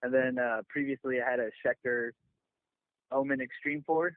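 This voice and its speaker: A man being interviewed as a touring bassist, chatting casually and loosely in a laid-back way over a lo-fi phone line. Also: fairly even intonation, normal speed, dry recording. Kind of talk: casual chat.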